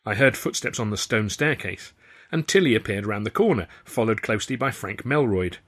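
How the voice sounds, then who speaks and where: A man reading his own novel aloud in the first person, with a wry, lively storytelling manner. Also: lowish voice, brisk. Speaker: author and narrator. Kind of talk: audiobook narration, first person.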